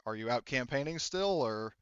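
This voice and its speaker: A man narrates a tabletop roleplaying game as game master. His voice is smooth and mid-pitched, and he talks in a halting, rambling way. The recording is dry and a little muffled. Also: expressive, fairly bright tone, no room sound. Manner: halting, rambling, self-correcting.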